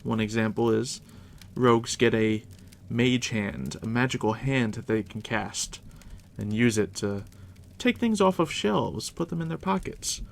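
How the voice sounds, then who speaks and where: A man softly near-whispering in an ASMR roleplay as a game master, casual and unhurried with a dry, teasing manner. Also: creaky. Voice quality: deep, hushed near-whisper. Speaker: ASMR roleplay host, playing a dungeon master guiding a new player. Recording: steady background noise, crackling fire ambience. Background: fire crackle.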